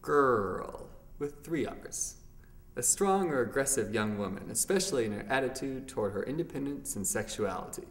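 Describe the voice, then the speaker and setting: A man's voice: medium pitch, expressive, moderate pace. A young man hosting a scripted comedic word segment in a mock-formal announcer manner. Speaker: segment host. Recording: distant mic under loud music. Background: loud brassy music.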